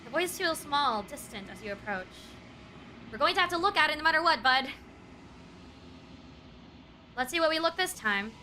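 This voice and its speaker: High, bright-voiced woman livestreamer. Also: lively intonation, fairly quick, a little hiss or hum. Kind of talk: game playthrough, reacting and reading aloud. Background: game music.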